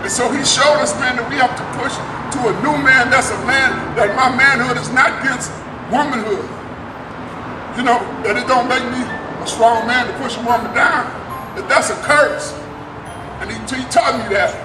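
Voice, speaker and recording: High-voiced man speaking earnestly, echoey and distant. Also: fairly even intonation, moderate pace, over music. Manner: earnest, emphatic, repeats words. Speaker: guest speaker giving a tribute. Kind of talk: personal spoken tribute to an audience. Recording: echoey distant recording with clear background noise.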